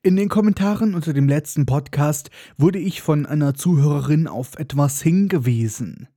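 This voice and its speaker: A man's voice: fairly high pitch, moderate pace, mellow, no room sound, clean studio sound. A man hosting a comedic book-recap podcast, sarcastic and irreverent, his nose stuffed up from a cold. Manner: sarcastic, irreverent, mocking asides. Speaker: podcast host.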